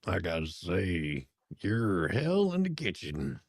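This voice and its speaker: A woman voicing a cocky, flirtatious male chef with a low, husky, intimate drawl in an audio romance drama. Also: big pitch swings, darkish tone, no room sound, studio quality, full character voice. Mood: seductive. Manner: slow, intimate, suggestive drawl.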